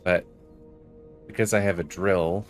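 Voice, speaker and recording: A man's voice: low voice, steady tone, unhurried, soft background music. A man giving explanatory walkthrough commentary as the host of a gaming video. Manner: explanatory, reads game text aloud.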